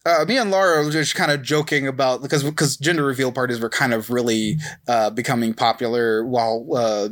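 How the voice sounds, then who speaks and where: A man's voice: medium pitch, steady tone, a little fast, hardly any pauses, a bit bright. A man in his thirties hosting a casual podcast chat in a jokey, self-deprecating way. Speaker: podcast host.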